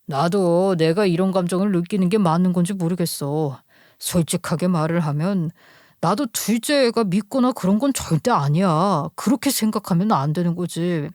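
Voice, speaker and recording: A woman's voice: on the low side, normal speed, a little mellow, no echo, clean studio sound, natural voice. A woman voicing an uneasy, defensive husband in a narrated story, explaining himself hesitantly. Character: uneasy, defensive squeamish husband and young father.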